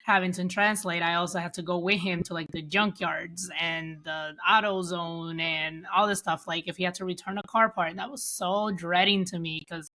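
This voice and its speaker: A woman's voice: on the low side, fairly even intonation, normal speed, few pauses, sharp tone. A woman from the United States with Dominican family, telling personal stories casually as an interview guest, often acting out bits of dialogue. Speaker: podcast guest.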